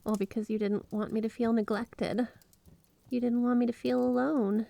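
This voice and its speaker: A woman playing a flirty, obsessively devoted yandere wife in an intimate ASMR roleplay, gushing straight to the listener with drawn-out emphasis. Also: a little high, level tone, mellow, no echo. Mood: fond, tender. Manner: gushing, breathy giggles, drawn-out emphasis on words.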